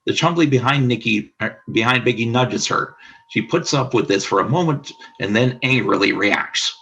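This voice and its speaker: A male narrator reads the scripted action of a radio drama. His voice is bright and crisp-edged, with plenty of gravelly fry at a medium pitch. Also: normal speed, rough recording. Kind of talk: scripted narration of radio play stage directions.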